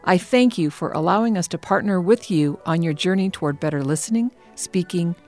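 A woman's voice: on the low side, expressive, brisk, darkish tone, soft background music. A middle-aged woman co-hosting an education podcast, affirming her partner's points, laughing easily and reading a friendly sign-off. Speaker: podcast co-host. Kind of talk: casual chat and scripted outro.

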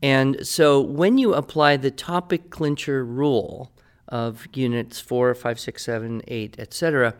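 A man's voice: medium pitch, a little slow, clear, resonant tone. A man talking conversationally on a podcast as a teacher, playful and didactic, explaining things step by step. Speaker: writing teacher answering listener questions.

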